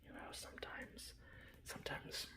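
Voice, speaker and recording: A man softly whispering in a casual, rambling ASMR style, breathy and close to the microphone, showing off a hobby. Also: moderate pace. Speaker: ASMR video host. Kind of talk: ASMR, informal hobby show-and-tell.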